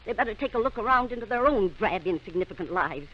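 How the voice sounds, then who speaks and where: A proud, defensive small-town wife played by a woman speaks with dramatic scorn. Her pitch swings widely in old radio play fashion. The sound is muffled and dated. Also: animated, quick pace, close to an everyday voice. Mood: scornful, bitter.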